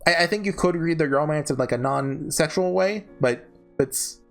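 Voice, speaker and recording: A man's voice: a little high, normal speed, soft background music. A man giving casual, rambling commentary as a video host, informal and hesitant, often correcting himself. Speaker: video host reviewing visual novels.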